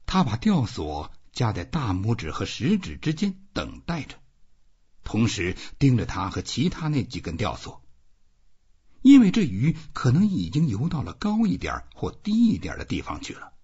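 A man with a husky voice reading literary fiction as an audiobook, with dramatic, emphatic phrasing. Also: mid-range voice, huge pitch swings, moderate pace, warm tone, dull audio. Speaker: audiobook narrator. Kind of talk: expressive scripted literary narration.

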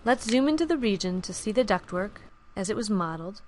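A woman giving clear, even, scripted narration for a software screencast tutorial, recorded as a studio voice-over. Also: medium pitch, fairly quick. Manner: clear, even instructional delivery.

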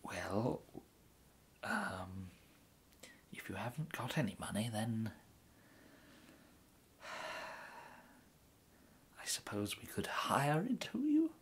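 A man in his thirties with an English accent playing a polite, fussy gentleman tailor in an ASMR roleplay, murmuring hesitantly and often slipping into a breathy whisper. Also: lowish voice, big pitch swings, slow, exaggerated voice. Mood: regretful, put out. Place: indoors, plain small room, close to camera.

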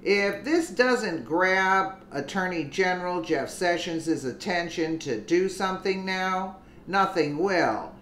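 Slow, deliberate news-reading voice. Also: medium pitch, expressive, fairly bright tone, echoey room, a little hiss or hum. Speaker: commentator reading news aloud. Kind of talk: reading an article with commentary.

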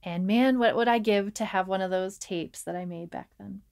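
A woman co-hosts a podcast. Her voice sits at a middle pitch, and she talks in a conversational way, as one explaining her work to listeners. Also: normal speed, darkish tone, clear, resonant tone. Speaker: podcast co-host and writer.

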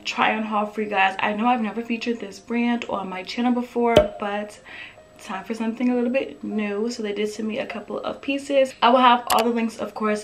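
A young woman with a slightly raspy voice, chatting upbeat and casual through a clothing try-on haul in her bedroom, talking to the camera up close. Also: mid-range voice, background noise. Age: young adult. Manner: chatty, upbeat, lots of fillers. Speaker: fashion vlogger. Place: bedroom with photo-collage wall, indoors.

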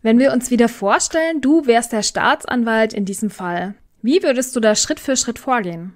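Higher-voiced woman hosting a podcast. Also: normal speed. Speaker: podcast host. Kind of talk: podcast interview presenting.

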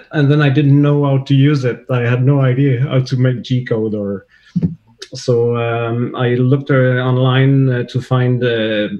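A man's voice: mid-range voice, darkish tone. A man from Quebec whose first language is French, speaking English with a Québécois French accent as a guest on a video call, hesitant and searching for words with many fillers. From Quebec, Canada. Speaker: guest, hobbyist maker. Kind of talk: casual video-call chat. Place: home room with couch and shelves. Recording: dull lo-fi video call audio.

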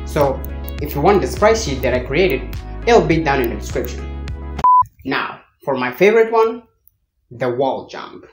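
A man's voice: mid-range voice, sing-song, a little slow, long pauses. A young man hosting a scripted coding tutorial, explaining step by step in a playful manner into a handheld microphone. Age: young adult. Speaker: tutorial host. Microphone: handheld microphone with furry windscreen. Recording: roomy echo, music underneath. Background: background music.

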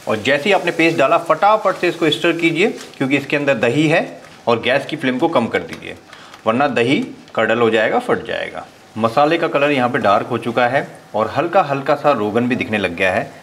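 Man calmly narrating a cooking recipe. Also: mid-range voice, a little hiss or hum. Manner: calm step-by-step instruction with practical tips. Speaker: cooking show host.

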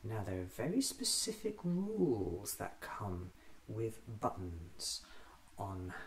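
Eccentric male time traveller acted by a man, ASMR roleplay, playful musing, lively pitch, soft delivery, noisy background. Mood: musing, instructive. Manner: whimsical, musing aloud, unhurried.

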